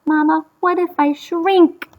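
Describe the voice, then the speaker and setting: A woman's voice: extremely high pitch, steady tone, slow, a little mellow, voice pushed up. A woman voicing an anxious little girl mouse in a storybook, fretting and questioning. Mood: fretful. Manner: fretful, questioning.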